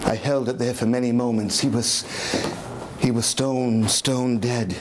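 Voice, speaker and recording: An older man playing a nervous, mad murderer in an intense, deliberate theatrical reading of gothic horror. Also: medium pitch, fairly even intonation, noisy background, natural voice. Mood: cold, cunning. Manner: intense, deliberate, swinging from hushed to shouting. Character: mad, nervous murderer confessing with gleeful cunning. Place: indoor room in an old house, before small audience.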